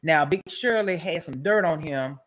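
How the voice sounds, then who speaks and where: A woman's voice: deep voice, animated, moderate pace. Brash, mocking woman roasting casually. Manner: brash, mocking, rambling with repeated phrases. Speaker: host of an online political roast show. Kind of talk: casual, profane comedic roast commentary.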